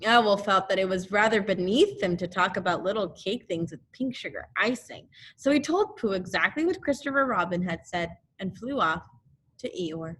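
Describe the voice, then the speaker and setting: A woman teacher reading a children's story aloud in an expressive narrating style. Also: medium pitch, normal speed, breathy edge.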